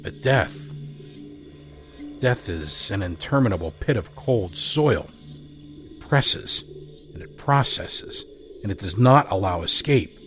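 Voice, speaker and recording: A man with a somewhat low voice narrates a scripted audio drama. He tells the story in a measured, dramatic way. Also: lively intonation, over music.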